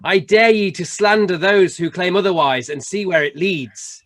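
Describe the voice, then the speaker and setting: A man's voice: sits high, normal speed, a bit bright. A man playing a stern, solemn Christmas spirit with grave, declamatory delivery in an amateur radio play. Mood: stern warning.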